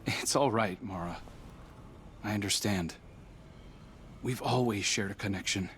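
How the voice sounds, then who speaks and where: A man playing an earnest, wounded brother of a queen in a video game cutscene, speaking in a measured, dramatic way. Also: on the low side, fairly bright tone, slight background noise. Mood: earnest, tender.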